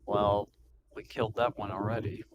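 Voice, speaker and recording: Dude playing tabletop rpg, low voice, jokey casual talk, noisy mic w clicking. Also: male voice, level tone, moderate pace. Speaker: roleplaying game player. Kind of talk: casual game chat, speaking partly in character. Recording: clear background noise with clicking.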